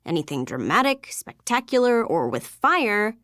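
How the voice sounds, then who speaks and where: A woman voicing a guarded, sarcastic young American misfit in scripted video game dialogue, with a casual, sullen, defensive deadpan. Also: mid-range voice, moderate pace, no room sound, studio quality. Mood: wary.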